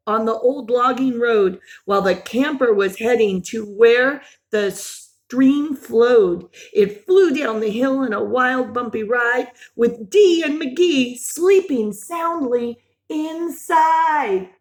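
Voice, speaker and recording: Unhurried, higher-voiced woman reading to children. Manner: expressive, with gasps and exclamations; simple child-directed questions and commentary. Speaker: teacher reading a picture book aloud to young children. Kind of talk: animated read-aloud storytelling with asides to young listeners.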